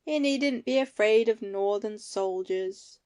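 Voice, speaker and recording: A woman's voice: mid-range voice, slow pace, a little mellow, close to an everyday voice. A woman playing an ailing, easygoing farmer husband in an amateur audio play, using folksy rustic phrasing. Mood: mild, reasoning.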